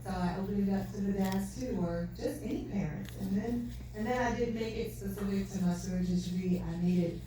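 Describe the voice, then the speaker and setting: A soft-spoken woman giving an earnest, faith-filled talk at an event, recorded from a distance in a large hall. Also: mid-range voice, brisk, hardly any pauses. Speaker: event speaker. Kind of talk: testimony talk. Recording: distant mic, echoey hall, faint noise.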